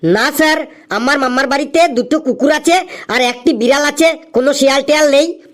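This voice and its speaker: Cartoon schoolboy: very high voice, cheeky and crafty, sing-song classroom recitation. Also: male voice, brisk, music in the background. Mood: cheeky, eager. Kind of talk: comedy cartoon voice acting.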